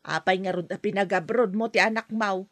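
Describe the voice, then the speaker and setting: A woman's voice: mid-range voice, fairly even intonation, a little fast, no echo, lightly acted. A woman playing a mother whose daughter works abroad in a radio drama, speaking in a scolding, defensive way. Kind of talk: Ilocano radio drama acting.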